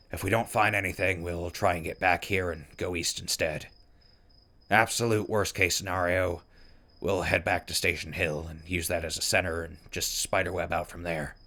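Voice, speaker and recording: A man's voice: low voice, gravelly, pushed and bright, full character voice. A man playing a wry wasteland radio host and wanderer in an audio drama, talking conversationally. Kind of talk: audio drama log and mock sponsor reads.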